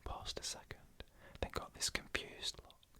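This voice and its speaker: A narrator speaking entirely in a breathy whisper, telling scary stories in a hushed, deliberate ASMR style with short, pausing phrases.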